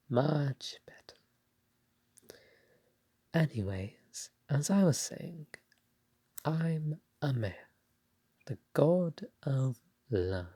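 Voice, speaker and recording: A woman playing a bashful, doting god of love in an ASMR roleplay, speaking softly and breathily with a hesitant, tender, playful manner. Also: a bass voice, dry recording, heavily acted. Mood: reassuring, playful. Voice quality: soft, breathy, partly whispered. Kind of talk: ASMR roleplay spoken to the listener.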